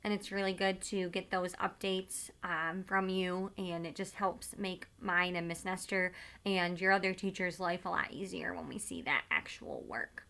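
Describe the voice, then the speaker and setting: Bright-voiced woman teacher reading aloud. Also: on the low side, moderate pace, hardly any pauses. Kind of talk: informal talk to pupils and storybook read-aloud.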